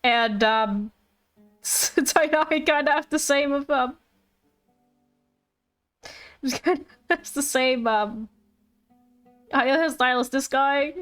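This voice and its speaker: A woman's voice: high voice, slow pace, long pauses, a bit bright. A woman doing casual live commentary on a game, chatty, playful and rambling. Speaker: game livestreamer. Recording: livestream with game music behind. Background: game music.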